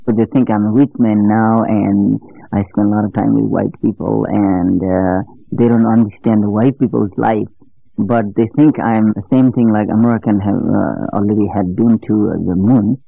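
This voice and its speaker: Man, Nepali accent, halting English, gravelly low voice, tinny phone-like sound. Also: steady tone, dry recording. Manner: halting non-native English with many fillers. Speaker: documentary interviewee. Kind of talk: interview recollection. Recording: tinny lo-fi sound with faint music.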